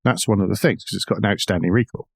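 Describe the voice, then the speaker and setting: A man's voice: on the low side, quick pace, creaky, no echo, clean studio sound. A man co-hosting a motoring news podcast, moving between read-out items and conversational, wry asides. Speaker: podcast co-host. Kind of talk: conversational car news discussion, partly read out.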